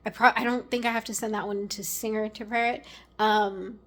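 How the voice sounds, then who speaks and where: A young woman chatting casually to her camera at home, rambling with fillers and dropping into whispered asides, her voice a little raspy. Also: mid-range voice, steady tone, a little fast. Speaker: vlogger.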